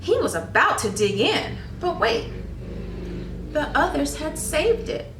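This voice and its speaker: A woman reading a story aloud to young children with dramatic, deliberate phrasing and questions to the listeners. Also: high-pitched, sing-song. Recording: echoey room, distant mic, faint noise.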